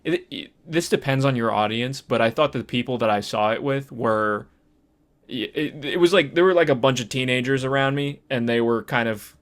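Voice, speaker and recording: A man's voice: mid-range voice, moderate pace. A man with a mid-range voice co-hosts a casual podcast about films. He feels his way through sentences, hesitant and meandering. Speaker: podcast co-host. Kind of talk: casual film discussion chat.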